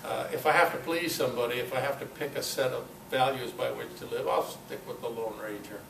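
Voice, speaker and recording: An older man giving an informal talk at a club meeting, telling personal anecdotes in a halting, conversational way. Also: medium pitch, steady tone, creaky. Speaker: guest speaker at a club meeting. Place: meeting room, in front of an audience. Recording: echoey, noisy room recording from far off.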